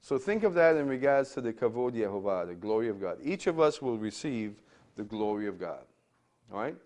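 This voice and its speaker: A man's voice: lowish voice, sing-song, a little fast, a little mellow. An older man from Trinidad, a pastor giving a conversational Bible lecture to a class, often checking in with "right?" and repeating his words.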